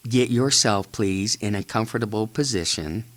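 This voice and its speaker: A man narrating a guided imagery meditation in short, measured phrases with long pauses between them. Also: lowish voice.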